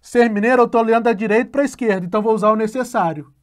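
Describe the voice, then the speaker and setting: A male math teacher patiently explaining a logic lesson, often checking in with a quick question and slowly repeating key phrases. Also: high-pitched.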